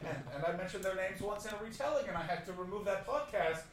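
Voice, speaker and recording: A Canadian man doing stand-up crowd work, teasing the audience with brash, rapid riffs and mock voices. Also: high-pitched, lively intonation, a little hiss or hum. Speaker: stand-up comedian. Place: comedy club stage. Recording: roomy live club recording from a distance. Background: audience laughter.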